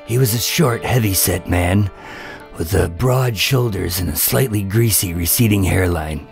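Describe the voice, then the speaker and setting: A low male voice of an actor plays a nervous undercover agent in a noir radio drama. He tells his story in a wry, deadpan way, with music prominent behind him. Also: unhurried, creaky. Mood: tense, wry. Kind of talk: first-person noir radio drama narration and dialogue.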